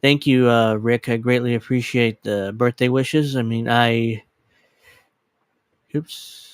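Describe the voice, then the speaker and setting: Casual streamer, man, late 30s, mid-pitched, rambly and self-correcting, dry close desk mic. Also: fairly even intonation, slow, clear, resonant tone, no echo. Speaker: livestream host. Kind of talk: casual livestream chat. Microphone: desk USB microphone.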